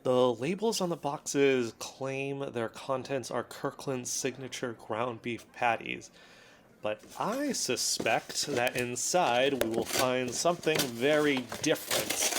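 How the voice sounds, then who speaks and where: A man's voice: mid-range voice, very noisy. An actor in an audio drama playing an earnest, suspicious young male investigator with an intense, self-serious manner. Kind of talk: audio drama, dictating covert voice notes.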